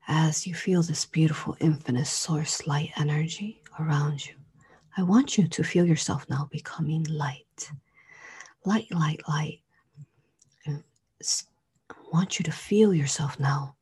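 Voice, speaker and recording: Breathy, half-whispering woman guiding a slow meditation. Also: lowish voice, mellow. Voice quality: breathy, often dropping into a whisper. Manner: soothing, drawn-out phrases with repetition. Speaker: guided meditation leader. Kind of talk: guided meditation, slow and hypnotic.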